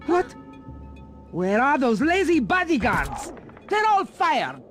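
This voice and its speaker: An actress playing an imperious, haughty villainous countess in a video game, theatrical and commanding. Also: female voice, high-pitched, wildly swooping pitch, takes it slowly, full character voice. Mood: imperious anger. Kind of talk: animated video game cutscene acting. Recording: loud music under the voice. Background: game music.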